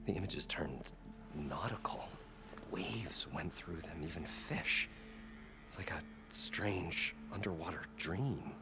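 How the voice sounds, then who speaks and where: An excitable video game inventor with a fairly low voice and a showman's flair. He is obsessed with his quest and stammers when worked up, speaking theatrically over background music. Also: takes it slowly, full character voice. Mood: dramatic recounting. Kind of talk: dramatic video game dialogue. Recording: music playing behind the voice.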